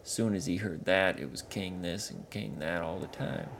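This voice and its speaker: A man voicing a gruff, blunt male troll henchman who talks loudly in broken rustic grammar, over a noisy background hiss. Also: low-pitched, normal speed. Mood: gossipy.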